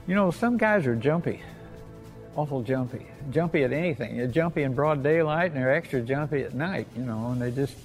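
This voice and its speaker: A man's voice: mid-range voice, lively intonation, fairly quick, soft background music, poor audio. An elderly American man, a war veteran, reminiscing in a plainspoken, folksy way and often repeating himself. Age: 80s or older. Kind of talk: oral history reminiscence.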